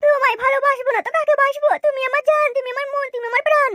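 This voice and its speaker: A woman's voice: very high voice, level tone, rapid-fire. A woman voicing an affectionate, bossy married village woman in a cartoon comedy, sweet-talking and insistent.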